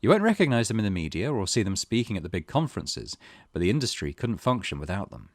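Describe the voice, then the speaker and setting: A man's voice: fairly low pitch, big pitch swings, quick pace, no room sound, studio quality. A man voicing a male tech service director, reading his interview quote from a visual novel. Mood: appreciative.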